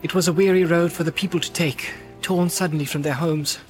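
A man's voice: a little high, steady tone, a little slow, soft background music, pitched above the performer's own voice, lightly acted. A man voicing a stern young noblewoman warrior who speaks with restrained sorrow. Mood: weary restraint.

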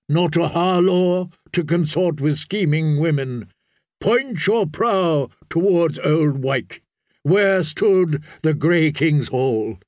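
A man's voice: high voice, a little mellow, no echo, studio quality, pitched above the performer's own voice. A man playing a fervent, commanding prophet priest of a sea god in a fantasy audiobook, preaching in solemn, incantatory oratory. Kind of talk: dramatised fantasy audiobook reading.

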